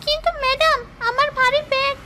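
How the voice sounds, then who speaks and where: A woman's voice: very high voice, fairly even intonation, fast talker, heavy background noise. A woman voicing a mischievous little ghost schoolgirl in a children's cartoon, with a whiny, childlike manner. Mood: whiny, complaining. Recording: loud children's cartoon music behind.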